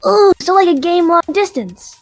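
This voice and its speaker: A woman's voice: extremely high pitch, lively intonation, moderate pace, faint music behind. A woman voicing a friendly, easygoing dragon who is eager to play cards. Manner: easygoing, eager card-game enthusiast. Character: friendly card-playing dragon, casual and eager. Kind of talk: fan audio drama acting.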